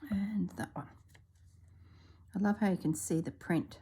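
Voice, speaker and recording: A woman narrating her crafting work in a soft, relaxed voice, sometimes dropping to a whisper, congested and clearing her throat. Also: on the low side, a little slow, slight background noise. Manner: soft-spoken, often drops to a whisper while working. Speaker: craft video maker.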